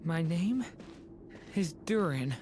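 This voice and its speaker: A woman voicing a frightened, weary young boy in a video game, speaking in a halting, earnest way. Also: fairly low pitch, expressive, slight background noise. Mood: lost, confused. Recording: game audio with loud music behind.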